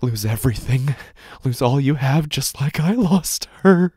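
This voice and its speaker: A man playing a desperate, unraveling gambler, narrating a horror audio drama in the first person with halting, haunted, dramatic delivery. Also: mid-range voice, animated, dark tone, husky, no echo, studio quality. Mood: bitter despair.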